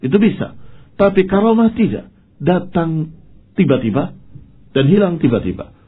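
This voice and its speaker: A man's voice: high-pitched, big pitch swings, moderate pace, breathy edge. A man giving a religious lecture as an Islamic preacher, didactic and emphatic, sprinkling in Arabic phrases. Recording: dull, muffled lo-fi lecture recording.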